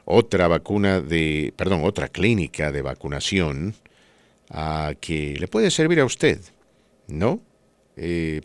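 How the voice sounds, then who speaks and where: A man's voice: deep voice, no echo. A man hosting a talk show, giving conversational news commentary in an emphatic, rhetorical manner. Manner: emphatic, rhetorical, asks listeners tag questions. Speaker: radio podcast host.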